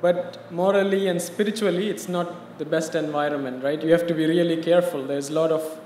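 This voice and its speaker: A man's voice: a little high, fairly even intonation, brisk, darkish tone, slight background noise. A man from India, a guest at a podium in a large hall, talking conversationally about his life and often saying "you know". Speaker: guest interviewee. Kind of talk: personal story in a live interview. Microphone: podium mic. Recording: roomy hall reverb, faint ambience.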